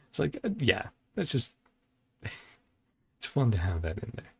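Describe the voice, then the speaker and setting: A man's voice: medium pitch, sing-song, takes it slowly, gravelly, slightly rough, dry recording. A man giving casual reaction commentary as a video host, thinking aloud in a laid-back way with music behind him.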